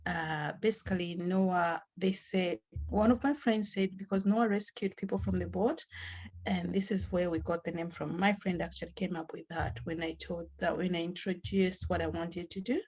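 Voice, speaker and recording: A woman from Zambia with a Zambian accent gives unscripted interview answers as a charity founder, haltingly, often repeating and rephrasing. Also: lowish voice, steady tone, darkish tone, slightly rough.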